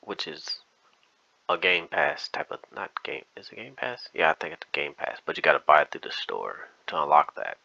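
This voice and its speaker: A man's voice: low-pitched, wildly swooping pitch, moderate pace, gravelly, sharp tone, no echo, highs cut off. A man giving casual gameplay commentary, hesitant and thinking aloud as he goes, often trailing off. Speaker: gaming video host.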